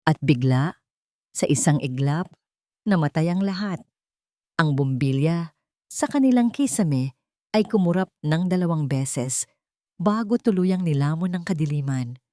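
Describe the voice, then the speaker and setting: Woman, Filipino accent, lowish pitch, dramatic story narration, dry studio-like sound. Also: lively intonation, unhurried, pauses often, mellow, no echo.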